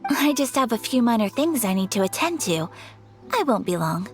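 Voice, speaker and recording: A woman's voice: a little high, sing-song, normal speed, soft background music. A woman playing a polite, refined young noblewoman in a video game, formal and a bit hesitant.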